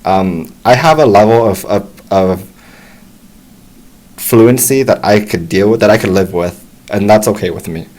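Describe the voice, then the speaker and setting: Low-voiced American man, easygoing tutor. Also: normal speed, poor audio. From United States. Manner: relaxed, encouraging, many restarts. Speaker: English tutor. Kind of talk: casual talk to camera.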